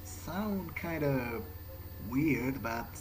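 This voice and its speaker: A man's voice: medium pitch, expressive, extremely slow, soft background music. A man improvising an in-character video game monologue as a brooding vampire, hesitant and often repeating his words. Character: brooding, guilt-ridden young male vampire. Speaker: let's player role-playing in character. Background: video game ambience.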